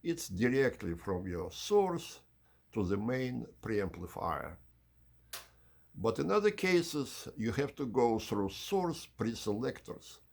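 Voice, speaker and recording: A man hosting an unhurried explanatory video tour in measured, slightly formal phrasing, with a husky, gravelly voice. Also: medium pitch, sing-song, darkish tone. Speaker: channel host giving an audio system tour.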